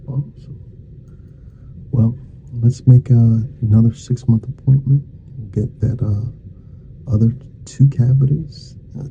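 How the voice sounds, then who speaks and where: Dark, gravelly, muffled man doing dentist ASMR. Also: mid-range voice. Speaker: ASMR performer playing a dentist. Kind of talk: ASMR dental exam roleplay, casual improvised patter. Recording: dull muffled lo-fi sound with faint noise.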